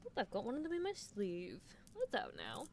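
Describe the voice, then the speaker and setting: A woman's voice: mid-range voice, huge pitch swings, fairly quick, vocal fry, a little mellow, a little hiss or hum. A woman voicing an obsessive, teasing mad-scientist girl in a roleplay ASMR, coaxing and clinical with a captive test subject. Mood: playful, coaxing.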